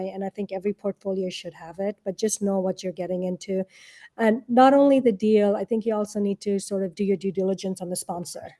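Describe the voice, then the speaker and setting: Woman, interview guest, mellow tone, mid-range pitch, informal, conversational explaining. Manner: informal, explains by posing rhetorical questions. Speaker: interview guest, real estate and angel investor.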